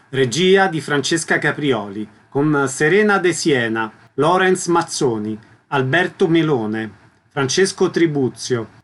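A man's voice: fairly high pitch, fairly bright tone. A man working as a radio announcer, reading scripted theatre credits.

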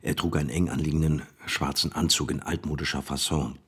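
A man's voice: a bass voice, level tone, a little fast, fairly bright tone, exaggerated voice. An actor playing a vain, self-important scientist who confides in his listeners in a radio play. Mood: uneasy recounting. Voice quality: husky. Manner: confiding, addresses listeners as pals. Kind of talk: radio play, first-person account.

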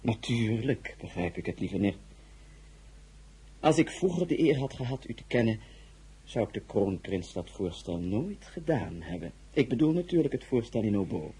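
Royal male: courtly, suggestive flatterer, very breathy-husky, lively intonation, formal audio drama delivery. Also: medium pitch. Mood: gallant, courtly. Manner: formal, gallant, drawn-out phrasing.

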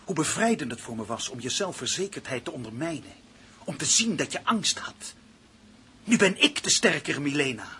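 A man's voice: on the high side, very animated, moderate pace. An actor playing a bitter, vengeful male writer in a Dutch radio play, with theatrical, intense delivery. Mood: hateful, gloating. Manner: theatrical, intense monologue swinging from hushed to shouting. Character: bitter, vengeful male writer turned murderous, domineered by partner. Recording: noisy old radio play recording.

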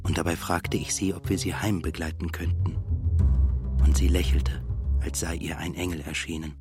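Melancholy composer, deep, measured, reflective, first-person radio play narration, music bed. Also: male voice, level tone. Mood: pensive. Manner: measured, introspective. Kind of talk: literary radio drama monologue. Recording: clear music bed behind the voice.

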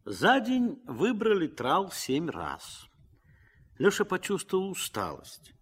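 A man's voice: high voice, huge pitch swings, slow, a bit bright, breathy edge. A man narrating a Russian radio play in a scripted storytelling style.

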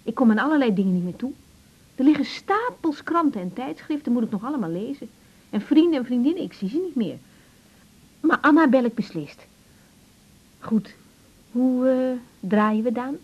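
A young female doctor in a radio play, her voice fairly high and a little husky. She is gentle and self-critical, speaking in a natural conversational way on a slightly dull eighties recording. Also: animated, normal speed, pauses often. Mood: confiding. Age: young adult. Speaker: general practitioner in a radio drama. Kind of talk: naturalistic 1980s Dutch radio drama acting.

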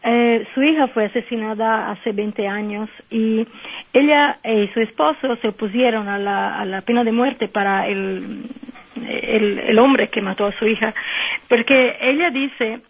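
Italian woman guest, husky voice on a dull phone line, halting speech with fillers. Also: a little high. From Italy. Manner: hesitant, many fillers, searching for words. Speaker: nun and guest. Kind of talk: radio phone interview.

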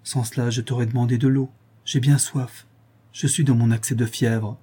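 A man's voice: mid-range voice, flat delivery, normal speed, mellow, slightly rough, natural voice. A man voicing a feverish, complaining wife in a storybook, with a plaintive, impatient manner.

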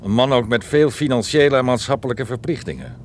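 A man's voice: medium pitch, brisk, close to an everyday voice. An actor playing a huge, menacing ex-boxer club owner in a radio play, wordy and mock-courteous in his threats. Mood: menacing courtesy. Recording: noisy radio play recording.

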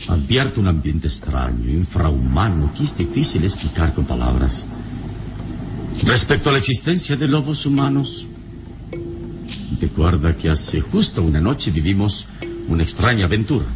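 An actor playing a wise, calm, heroic adventurer in a solemn, measured, theatrical radio-serial style. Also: male voice, low-pitched, over music. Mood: grave, pondering. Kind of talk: old radio serial acting.

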